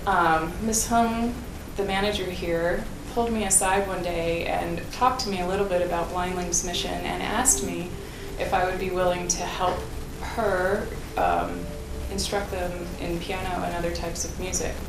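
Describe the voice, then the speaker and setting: A woman's voice: lowish voice, moderate pace, few pauses. An American woman who teaches piano, answering interview questions thoughtfully, with frequent fillers and restarts. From United States. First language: English. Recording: echoey room with clear noise.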